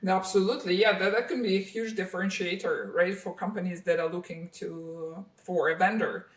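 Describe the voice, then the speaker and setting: A woman hosts an interview, affirming her guest often and pausing on fillers. Her voice sounds roomy and sits back from the microphone. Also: on the low side, steady tone, distant mic. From Ukraine. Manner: warm affirmations, frequent hesitations and fillers. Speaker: podcast host. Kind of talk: interview hosting.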